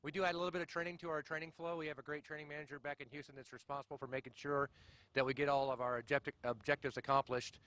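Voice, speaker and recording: A middle-aged man speaks quickly into a handheld mic at an outdoor press briefing. The sound is dry and close, and he leans on "uh" fillers as he talks. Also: a little high, level tone, fairly bright tone, dry recording. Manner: friendly, fast, many "uh" fillers. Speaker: mission commander at a press briefing. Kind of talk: outdoor press conference remarks. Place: outdoors at a launch pad.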